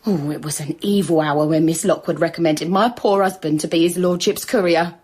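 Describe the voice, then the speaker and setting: Husky, bitter working-class widow. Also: female voice, fairly low pitch, brisk, darkish tone. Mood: defiant, bitter. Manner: pointed, stubbornly polite. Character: bitter, bold working-class widow confronting her betters. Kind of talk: radio drama acting.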